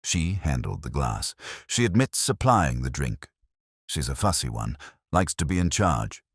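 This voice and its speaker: A man tells a cozy mystery with wry, unhurried storytelling. His voice is very deep, yet his pitch rises and falls with lively expression. The recording is dry and close, with no room sound. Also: breathy edge, dry recording. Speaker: narrator. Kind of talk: scripted mystery narration.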